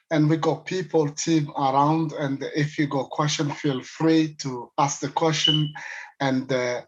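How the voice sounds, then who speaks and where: Guy from congo chairing a video call panel, lots of uhs, kinda high voice, muffled lo-fi audio. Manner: hesitant, many fillers and restarts. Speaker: panel chair, mental health researcher. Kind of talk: online panel introduction.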